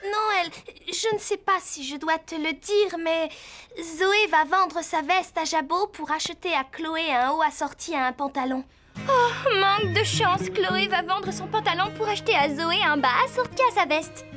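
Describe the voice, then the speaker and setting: A woman voicing an earnest teenage girl rider in a French cartoon dub, with expressive, emphatic delivery. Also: very high-pitched, normal speed, pauses often. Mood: hesitant, matter-of-fact. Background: background music.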